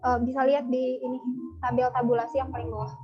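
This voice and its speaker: A young woman presenting her assignment in an online class, explaining slowly with many hesitations and fillers. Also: sits high, flat delivery, muffled recording, soft background music. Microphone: video call.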